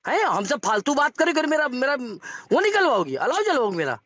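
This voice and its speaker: A man's voice: very high voice, big pitch swings, fairly quick, a bit bright, no echo, soft background music. A man arguing heatedly on a phone call, belligerent, threatening and insulting, repeating himself and throwing bursts of English into his speech. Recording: dull lo-fi phone call recording.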